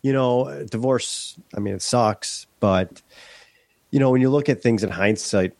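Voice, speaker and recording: A man's voice: medium pitch, a little slow, vocal fry, darkish tone, dry recording. A middle-aged man from Western New York, stuffed up from a head cold, chatting candidly as a podcast guest with many restarts. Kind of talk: casual interview chat.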